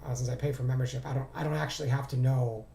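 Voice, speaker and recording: A man with a raspy, hoarse voice recovering from laryngitis, giving an informal, halting screen-share tutorial as a trading instructor. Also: medium pitch, fairly even intonation, warm tone, slight background noise. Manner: halting, thinking aloud. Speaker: trading instructor reviewing charts. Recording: roomy, echoing voice recording.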